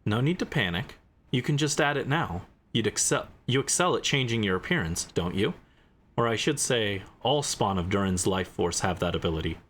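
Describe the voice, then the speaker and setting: A man voicing a composed, eloquent investigator and commander in a game, speaking in a measured, formal, deliberate way. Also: lowish voice, lively intonation, a bit bright, slight background noise. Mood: calm, accusing.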